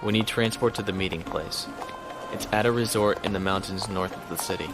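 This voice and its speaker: An actor playing a resourceful military lieutenant on the run in a science fiction audio drama. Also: male voice, deep voice, a little fast, music in the background, rough recording. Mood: focused.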